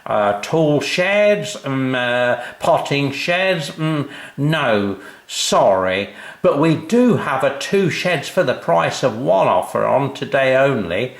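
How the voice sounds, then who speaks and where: A showy salesman from a children's storybook, put on by a male reader. He stretches out his rehearsed patter slowly, with a pitch that rises and swoops. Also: big pitch swings, gravelly, roomy sound, exaggerated voice. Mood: hesitant, showy.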